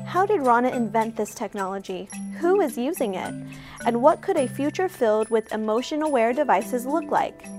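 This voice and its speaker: A woman reading a scripted introduction as the host of a speaker series. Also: fairly high pitch.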